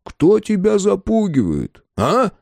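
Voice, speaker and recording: A man voicing a menacingly cheerful police major in an audiobook, teasing and coaxing as he needles a suspect with false gentleness. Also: fairly high pitch, huge pitch swings, slow pace, no echo, studio quality, voice pushed up, full character voice. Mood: sharp, pressing.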